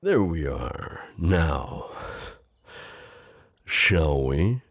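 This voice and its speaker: A man's voice: a bass voice, sing-song, drawn-out words, fairly bright tone, dry recording, studio quality, exaggerated voice. A man playing a protective, possessive knight, speaking in a formal, courtly, tender and hesitant manner in an intimate roleplay audio. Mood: soothing, hesitant. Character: protective, possessive gallant knight, mentor to a princess.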